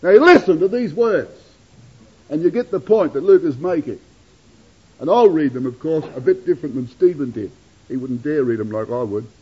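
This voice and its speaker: A man preaching in a high, animated voice that swoops and climbs through each phrase. His tone is husky, and the recording sounds dull and lo-fi. He addresses his listeners as brethren and sisters. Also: moderate pace. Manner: dramatic rises and drops in pitch and loudness. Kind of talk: sermon.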